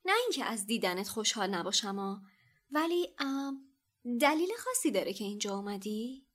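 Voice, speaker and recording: A woman's voice: mid-range voice, lively intonation, fairly bright tone. A woman voicing a polite, slightly hesitant teenage boy wizard in an audiobook. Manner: hesitant, polite, whispering urgently. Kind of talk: audiobook character voice.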